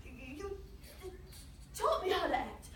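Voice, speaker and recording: A woman's voice: very high-pitched, sing-song, slow pace, fairly bright tone, heavily acted. A woman playing an eager, earnest teenage boy with a stammer, pleading and confident in a dramatic solo performance. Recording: roomy, distant mic, faint noise.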